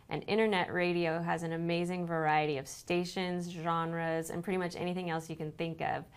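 A woman's voice: fairly low pitch, clean tone. A woman in her thirties presenting a scripted product demonstration. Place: indoor living-room set, seated on a sofa.

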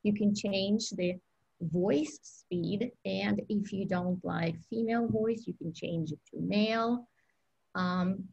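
A woman's voice: on the low side, creaky, darkish tone, slightly rough, highs cut off. A woman whose first language is Russian, presenting an educational webinar over a video call in an upbeat, explanatory way with frequent ums.

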